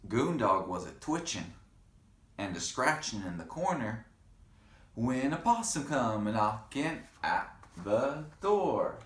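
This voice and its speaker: A man's voice: medium pitch, very animated, slow pace, fairly bright tone. A man reading a picture book aloud to children in a playful, dramatic way, with chatty asides to the kids. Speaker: read-aloud storyteller for children. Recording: roomy, echoing room sound.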